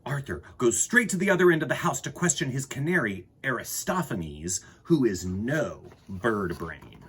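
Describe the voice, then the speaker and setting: A man reading a children's picture book expressively, with deliberate pauses for effect. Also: medium pitch, very animated, fairly bright tone, a little hiss or hum. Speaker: storyteller, host.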